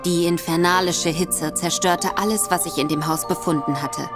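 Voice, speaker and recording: Brief: female narrator, sober and measured, slightly low, music bed clearly audible. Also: steady tone. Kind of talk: scripted narration.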